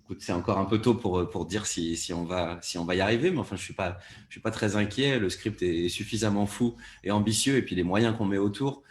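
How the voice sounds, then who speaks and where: A man with a fairly low voice takes part in a panel discussion as a producer. He explains his thinking off the cuff, circling back and repeating words as he builds each point. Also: a little fast, reverberant. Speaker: panelist, fiction podcast producer.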